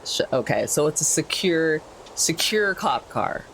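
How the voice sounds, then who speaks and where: A man's voice: on the high side, very animated, measured pace, fairly bright tone, a little hiss or hum, low-quality recording. Hesitant man playing a tabletop game. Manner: hesitant, thinking aloud. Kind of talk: casual chat.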